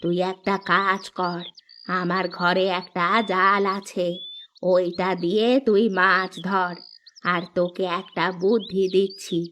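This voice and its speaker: A woman's voice: on the low side, little pitch movement, pushed and bright, a little hiss or hum. A woman playing a kindly, shrewd old village granny in a Bengali cartoon, concerned and full of advice. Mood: shrewd, advising. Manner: slow, concerned, advising. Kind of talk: Bengali moral-story cartoon dub.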